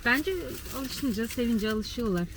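Woman chatting casually, higher voice, noisy surroundings. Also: measured pace. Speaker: travel vlogger. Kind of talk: casual chat while out on a trip. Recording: noisy outdoor and car ambience. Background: street and vehicle noise.

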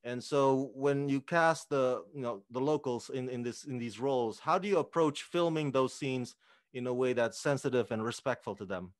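Curious interviewer with a dry-sounding voice. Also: male voice, mid-range voice, normal speed, no echo. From Indonesia. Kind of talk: video call interview. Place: room with green screen. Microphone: studio mic on arm.

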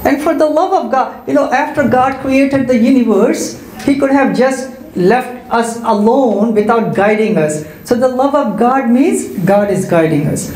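A man's voice: very high-pitched, a little slow, hardly any pauses, a little mellow, slight background noise. A man whose first language is Hindi and Urdu, answering students' questions in a large hall on a handheld mic, listing his points and checking in with "right?". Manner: explanatory, lists points and adds "right?" checks. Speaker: Islamic speaker answering questions. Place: large indoor community hall. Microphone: handheld microphone. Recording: echoey hall.

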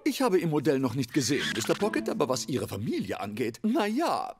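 A woman voicing a haughty, hard-to-please wealthy client in an animated cartoon, sardonic and pompous. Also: sits low, a little fast, background noise, full character voice. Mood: disdainful. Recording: loud cartoon music behind.

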